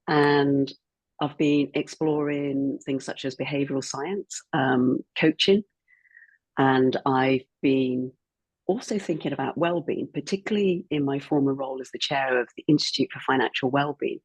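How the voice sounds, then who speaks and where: A woman's voice: rumbling low, normal speed, dry recording, rough recording. A woman in her sixties, a former financial planner with a cold, speaking reflectively as a guest on an online panel. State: croaky from a cold.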